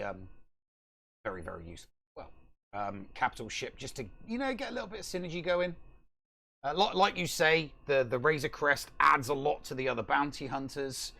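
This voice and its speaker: Hesitant middle-aged man with some creak. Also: mid-range voice, sharp tone. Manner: hesitant, often repeating words. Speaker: podcast co-host. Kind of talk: casual gaming discussion. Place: small room at home, on a video call.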